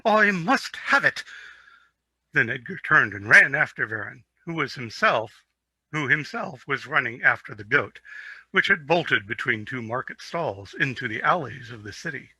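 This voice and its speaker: Bright, theatrical man reading a story. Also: medium pitch, moderate pace, slightly rough, dry recording. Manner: theatrical, expressive. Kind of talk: dramatic reading of a fantasy story.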